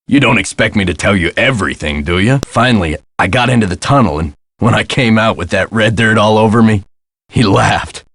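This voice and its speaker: An actor playing a gruff, put-upon castle hotel caretaker in a video game, with a folksy, sardonic and exasperated way of talking. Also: male voice, lowish voice, fairly quick, fairly bright tone, no echo. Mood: wry, reflective. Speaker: caretaker and front desk clerk. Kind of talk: scripted video game character lines.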